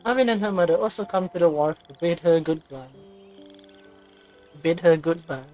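Low, unhurried woman gamer. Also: darkish tone. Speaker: gamer reading on-screen text and commenting. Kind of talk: casual game playthrough, reading text aloud. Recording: voice over game music. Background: game music.

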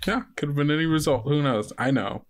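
A man's voice: a little high, fairly quick, over loud music. A man working as game master, narrating an actual-play tabletop game in an informal, conversational way.

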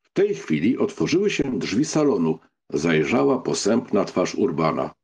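A man's voice: low-pitched, big pitch swings, normal speed, darkish tone, husky. A man narrating a novel as scripted literary audiobook reading.

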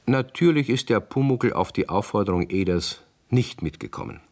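A man narrates a children's radio play from the 1960s. His voice is deep, and his storytelling moves with lively, expressive pitch. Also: moderate pace.

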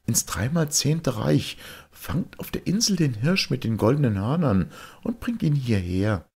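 A man voicing a calm, reassuring young wife with magic powers in a fairy tale, soothing and coaxing. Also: mid-range voice, measured pace, vocal fry, breathy edge, pitched above the performer's own voice, heavily acted. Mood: calm, instructing.

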